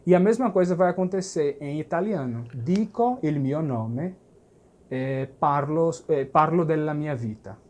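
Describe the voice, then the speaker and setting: A man's voice: a little high, animated, a little slow, a little mellow. A man teaching a lesson, explaining patiently and checking understanding as he goes. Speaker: language teacher. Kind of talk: recorded language lesson.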